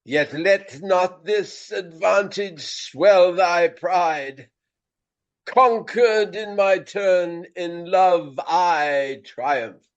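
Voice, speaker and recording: A pompous Regency gentleman who fancies himself a great tragedian. He declaims very slowly in a high, melodramatic voice, as if in a radio play. The sound is slightly dull. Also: exaggerated voice. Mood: melodramatic dying. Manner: grandiloquent, drawn-out, melodramatic delivery. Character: pompous Regency gentleman, a hammy amateur tragic actor.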